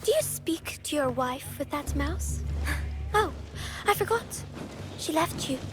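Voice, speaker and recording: A woman playing a sly, defiant young pirate's daughter in a video game, sharp-tongued and playfully sweet. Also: high voice, lively intonation, unhurried, music in the background. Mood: indignant, defiant. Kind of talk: dramatic game voice acting.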